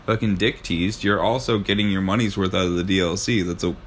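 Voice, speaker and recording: A man's voice: low-pitched, steady tone, brisk, a little hiss or hum. A young man co-hosting a podcast, giving blunt, sweary opinions in casual chat. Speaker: podcast co-host.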